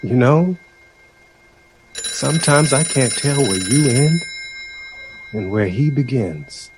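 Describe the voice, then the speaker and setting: An excitable, theatrical young man from a radio play. His speech is slow and savored, leaping widely in pitch. He is gushing and pointed, and easily wounded. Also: noisy background. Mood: bitter, confronting. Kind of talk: audio drama acting.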